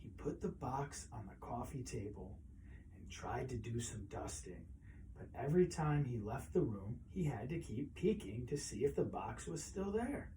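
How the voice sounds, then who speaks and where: Male storyteller for a children's picture book read-aloud, mellow mid-pitched voice, expressive phrasing, some noise behind. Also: moderate pace, poor audio.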